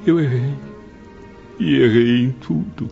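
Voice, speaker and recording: An actor playing a grieving, remorseful son from the spirit world in a radio drama, speaking in an anguished, pleading way. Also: male voice, medium pitch, slow pace, creaky, mellow. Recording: dull radio drama recording under music.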